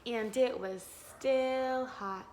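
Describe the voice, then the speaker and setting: A woman teacher reading a storybook aloud to young children in an expressive, encouraging way, pausing to ask them questions. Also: a little high, a little hiss or hum.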